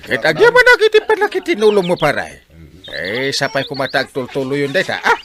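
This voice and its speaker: Excitable village captain, swooping voice. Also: male voice, fairly high pitch, fairly quick. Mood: cheerful, earnest. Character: jovial male village captain, proud, easily sidetracked from duty. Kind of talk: comic radio drama acting.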